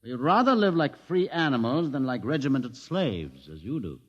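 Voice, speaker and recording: An actor playing a crippled male mutant leader in a 1950s radio drama, measured, ironic and commanding. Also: mid-range voice, very animated, highs cut off. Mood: calm, sardonic. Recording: old 1950s radio broadcast.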